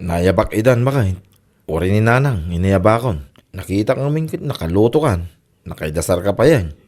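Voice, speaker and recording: A man's voice: on the low side, animated, normal speed, darkish tone. A man with an Ilocano Filipino accent playing a mild, conversational village everyman in a radio comedy drama. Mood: casual, agreeable.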